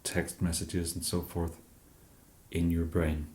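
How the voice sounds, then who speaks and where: An English man in an ASMR roleplay as a shop genius, speaking softly and hesitantly with many fillers and a dry, self-deprecating humour. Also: deep voice, flat delivery, takes it slowly, roomy sound. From England.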